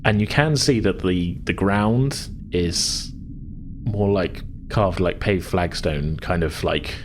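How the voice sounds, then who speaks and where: A man's voice: low voice, slow, slight background noise. A man narrating a tabletop game as game master, improvising in loose, hesitant, informal phrases.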